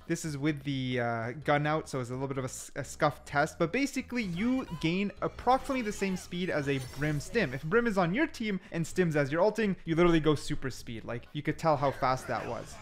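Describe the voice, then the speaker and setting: A man giving casual, chatty explainer commentary over gameplay, talking the viewer through it step by step. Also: fairly high pitch. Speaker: gaming video host.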